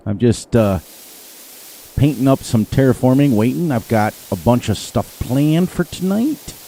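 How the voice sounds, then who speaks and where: Hoarse, lively-voiced male game streamer. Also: mid-range voice, unhurried, a little hiss or hum, rough recording. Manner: rambling, playful, sing-song repetitions. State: a little hoarse. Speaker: gaming livestreamer. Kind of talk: casual live gameplay commentary.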